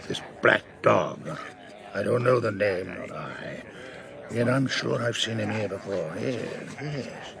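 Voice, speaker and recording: An actor playing a jovial, crafty old one-legged sailor and innkeeper in an audio adventure, with a gravelly voice and hearty seafaring dialect. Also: male voice, fairly low pitch, exaggerated voice. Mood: musing, sly. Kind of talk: dramatised audio adventure acting.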